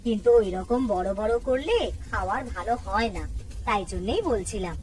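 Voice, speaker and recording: A woman's voice: medium pitch, normal speed, darkish tone, close to an everyday voice. A woman voicing an older, homely mother-in-law in a children's cartoon, complaining and instructing her family. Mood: patient, placating. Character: older mother-in-law, nagging housewife, aggrieved but indulgent. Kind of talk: Bengali children's cartoon dialogue.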